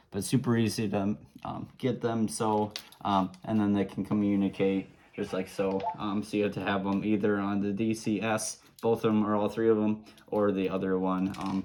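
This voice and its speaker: A man's voice: on the low side, a little mellow, a little hiss or hum. A man giving a casual product demonstration, explaining simple steps in an easygoing, informal way. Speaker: product reviewer.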